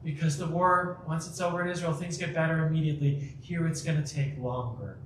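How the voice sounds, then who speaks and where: Speaker at a community talk, high-pitched man, energetic, roomy distant sound. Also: noisy background. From Chicago. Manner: energetic, quick asides, frequent restarts. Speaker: guest speaker. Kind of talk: public talk with audience Q&A. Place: community center hall. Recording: echoey hall, mic at a distance.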